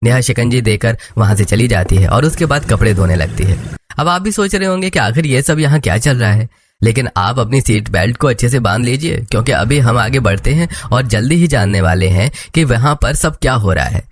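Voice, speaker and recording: A man's voice: mid-range voice, expressive, a little fast, a little hiss or hum. A man reading scripted narration for a cartoon family story.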